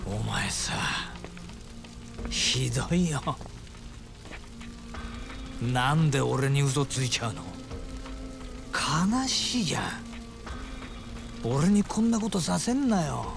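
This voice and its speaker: A menacing yakuza from a video game, his voice heavy with creak. He drawls and taunts, mock-wounded yet coldly murderous. Music and rain sit behind him. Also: medium pitch, big pitch swings, takes it slowly, long pauses, full character voice. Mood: mock-hurt menace. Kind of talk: video game cutscene drama acting.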